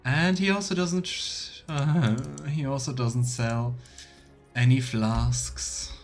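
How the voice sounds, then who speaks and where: A man giving casual let's play commentary as a streamer, halting and murmuring to himself as he thinks aloud. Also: mid-range voice, fairly even intonation, faint music behind. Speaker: streamer playing a video game. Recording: clear background noise, keyboard clicks.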